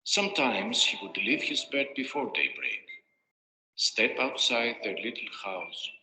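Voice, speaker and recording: A man's voice: mid-range voice, animated, unhurried, pushed and bright, a little hiss or hum. A man narrating a scripted fairy tale as a storyteller, with a dramatic storybook delivery. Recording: echoey reverberant playback with music. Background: music.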